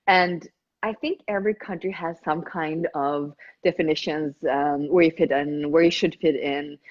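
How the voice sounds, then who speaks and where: A woman from Sweden, hosting a casual chat and talking in a lively way. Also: on the low side, highs cut off. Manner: chatty, lively, often affirming with yeahs and mms. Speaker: host. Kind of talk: casual conversation.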